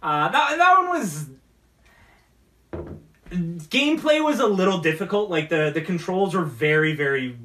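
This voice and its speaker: A man co-hosting a casual geek talk show, speaking in halting, repetitive bursts with lots of "like". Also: high voice, sing-song, sharp tone, slight background noise. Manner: halting, repetitive, heavy use of "like". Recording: echoey room, distant from mic.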